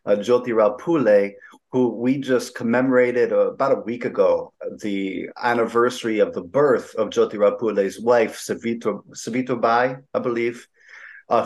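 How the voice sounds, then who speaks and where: A low-voiced man hosts an interview. He asks long, rambling questions with enthusiasm, stopping often to restart. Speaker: podcast host.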